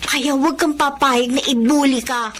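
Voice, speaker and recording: A woman's voice: high-pitched, fairly even intonation, moderate pace, a little hiss or hum, natural voice. A woman playing a feisty, protective young student with a sassy, assertive manner. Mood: earnest advising. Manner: sassy, assertive, mixes in English.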